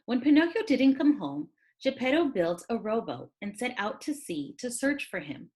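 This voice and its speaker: A woman reading a children's story aloud as a teacher, with expressive, dramatic delivery. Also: a little high, moderate pace.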